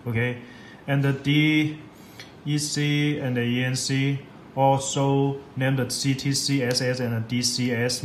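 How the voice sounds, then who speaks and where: A man giving a step-by-step software tutorial in halting, repetitive English. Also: mid-range voice. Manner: halting, repetitive non-native English phrasing. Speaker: product demonstrator. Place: indoors at a laptop on a desk. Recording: echoey room with clear background noise.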